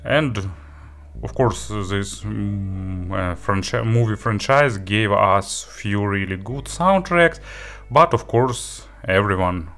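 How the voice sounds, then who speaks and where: Male gear reviewer, unhurried and hesitant yet keen, slightly low voice, spoken review. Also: a bit bright.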